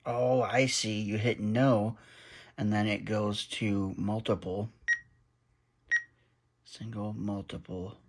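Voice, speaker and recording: Low-ish guy rambling through an unboxing on his phone, kinda mumbly, light hiss. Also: level tone, slow pace, long pauses. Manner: chatty, mutters while handling gear. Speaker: radio hobbyist vlogger. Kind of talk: casual unboxing commentary. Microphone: handheld phone camera.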